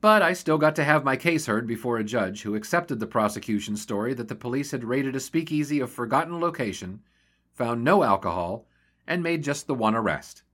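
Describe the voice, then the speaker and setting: An actor playing a plucky, wry and wordy newspaper sportswriter from the 1920s in a radio drama. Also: male voice, medium pitch, expressive, brisk, fairly bright tone. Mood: reflective. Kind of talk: radio drama acting with first-person narration.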